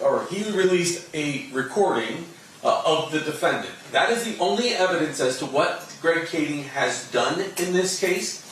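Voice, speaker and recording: American guy lawyer arguing in court, highish voice, super echoey room, emphatic. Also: a bit bright. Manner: argumentative, emphatic, rhetorical questions. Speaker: trial attorney arguing an objection. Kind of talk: spontaneous legal argument in court. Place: indoor courtroom. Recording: very echoey, distant mic, faint noise.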